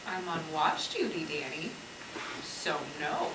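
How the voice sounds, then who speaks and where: A woman's voice: low voice, lively intonation, slow pace. A woman acting in a stage play as a worried, teasing companion keeping watch among zombies. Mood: low-key, concerned. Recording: echoey stage, clear background noise.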